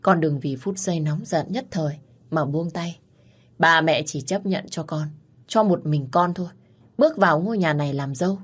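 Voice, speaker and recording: A woman voicing a spiteful, scheming older mother-in-law who scolds loudly and harshly. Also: normal speed, breathy edge. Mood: soothing. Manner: shrill, scolding, loud and harsh.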